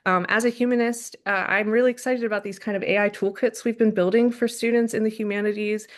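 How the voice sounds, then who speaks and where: Woman presenter, casual and chatty, mid pitch, webinar call. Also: fairly even intonation, quick pace. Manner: casual, filler-heavy with many likes and ums. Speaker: learning lab staff presenting. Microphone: video call.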